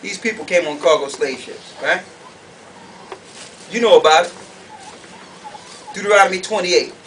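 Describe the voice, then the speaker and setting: High-pitched man preaching, from afar. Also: normal speed, a bit bright, distant mic. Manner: colloquial, emphatic, repeats questions rhetorically. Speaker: preacher teaching a group. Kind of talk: animated sermon with questions to the audience. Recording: noisy room, picked up from afar.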